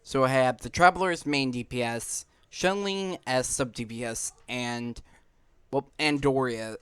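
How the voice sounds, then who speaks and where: A man's voice: mid-range voice, a little slow, a bit bright, clean tone, no room sound. A man giving casual gameplay commentary, rambling and thinking aloud as he hosts. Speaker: gaming video host. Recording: game music behind the voice. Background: video game music.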